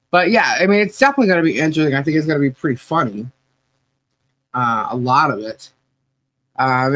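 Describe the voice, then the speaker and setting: A woman's voice: low voice, dry recording. A woman hosting a casual livestream podcast, sounding nasal today and rambling with restarts and laughs.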